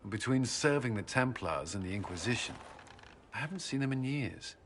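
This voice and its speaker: An actor playing an earnest, dutiful military commander in a video game, reserved but friendly, with formal, courteous speech. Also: male voice, medium pitch, expressive, moderate pace, a bit bright, a little hiss or hum. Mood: wistful, relaxed. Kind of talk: video game cutscene acting.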